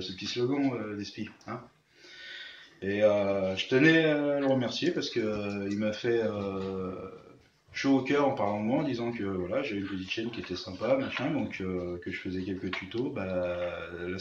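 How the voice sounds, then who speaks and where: A man's voice: fairly low pitch, normal speed. A man talks casually to his viewers in a hesitant, rambling, slangy way. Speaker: motorcycle vlogger. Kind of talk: casual tutorial chat with thanks to viewers. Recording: echoey room, muffled, faint noise.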